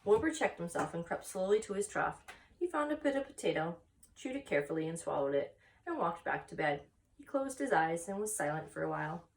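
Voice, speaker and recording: A woman's voice: lowish voice, sing-song, brisk, recorded from a distance, poor audio. A woman reading a children's story aloud with expression as a story time narrator.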